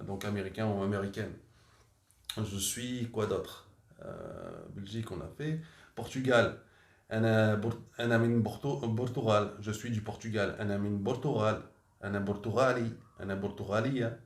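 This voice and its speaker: A man teaching a language lesson, talking unscripted and correcting himself often with many fillers. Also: on the low side. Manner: unscripted, halting with frequent fillers and self-corrections. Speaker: Arabic teacher. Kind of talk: language lesson, thinking aloud. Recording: echoey room.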